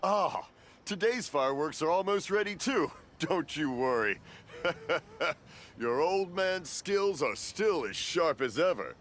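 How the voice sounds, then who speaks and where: An actor playing a cheerful elderly fireworks maker who is hard of hearing and keeps mishearing and rambling. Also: male voice, a little high, animated, slow pace, fairly bright tone, a little hiss or hum. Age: older. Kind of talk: video game voice acting. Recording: game cutscene with music behind.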